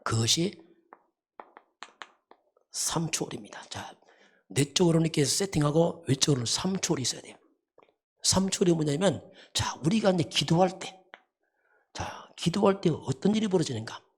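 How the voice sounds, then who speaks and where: A pastor giving a sermon in an emphatic, repetitive teaching manner, often posing rhetorical questions, with a husky voice. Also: mid-range voice, lively intonation, normal speed, long pauses. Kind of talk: dawn service sermon.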